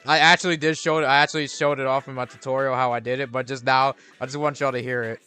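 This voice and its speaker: A man's voice: mid-range voice, little pitch movement, fast talker, pushed and bright, clear, resonant tone, faint music behind. A young man streaming a video game, chatting casually and rambling with restarts and fillers. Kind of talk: casual gameplay commentary.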